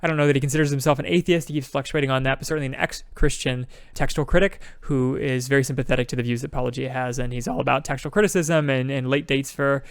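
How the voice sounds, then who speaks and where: A man co-hosting a podcast, talking quickly in a casual discussion and thinking aloud with frequent restarts. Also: medium pitch, steady tone, clear, resonant tone, no echo, clean studio sound. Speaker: podcast co-host.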